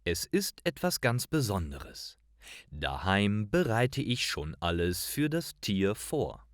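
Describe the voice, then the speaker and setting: A man reading a scripted short story for language learners, with careful, deliberate phrasing. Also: fairly low pitch, dry recording, clean studio sound. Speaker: narrator of German learning stories.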